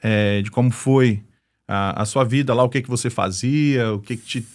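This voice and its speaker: A man's voice: mid-range voice, moderate pace, darkish tone. A man from Brasília hosting a live interview show, opening with an upbeat greeting and chatting easily with his guest. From Brasília, Brazil. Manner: upbeat, chatty, leans on "né" tags. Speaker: podcast host.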